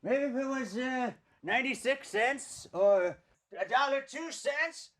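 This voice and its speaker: A man acting a timid, hesitant follower in a troupe of stage players, speaking haltingly. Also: very high voice, animated, fairly bright tone, heavily acted. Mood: puzzled.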